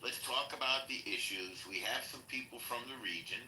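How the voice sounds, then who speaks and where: A man from New York calmly moderating a heated online audio room, speaking in a measured, conciliatory way. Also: mid-range voice. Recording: echoey room audio with faint noise.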